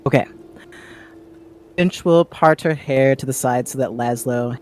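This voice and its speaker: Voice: mid-range voice, measured pace, darkish tone, soft background music. A player with an androgynous voice, casually roleplaying in a tabletop fantasy game.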